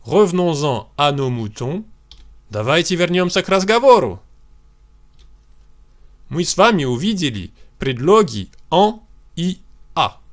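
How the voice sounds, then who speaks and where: A man from France teaching a beginners' French lesson, speaking model sentences slowly and deliberately for learners to repeat. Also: a little high, very animated.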